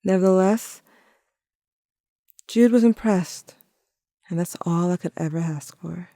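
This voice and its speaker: A woman's voice: on the low side, a little slow, dark tone, dry recording, clean studio sound. A woman playing a tender, wry, grieving teenager who narrates an audio drama in a reflective, confiding way. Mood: wistful, wry. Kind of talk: intimate first-person audio drama narration.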